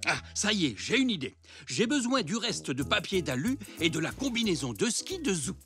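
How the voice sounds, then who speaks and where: Lively zebra grandfather. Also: high voice, moderate pace. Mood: reassuring. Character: kindly, reassuring zebra grandfather. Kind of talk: French children's cartoon dubbing. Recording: music behind.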